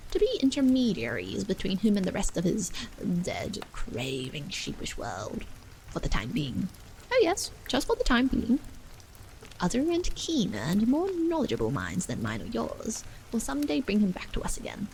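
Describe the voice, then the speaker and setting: A woman's voice: medium pitch, creaky, slight background noise, low-quality recording. A woman voicing an earnest, cordial clergyman of seventy-one, persuading patiently in formal, emphatic, old-fashioned phrases for a story. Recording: steady rain sounds behind the voice.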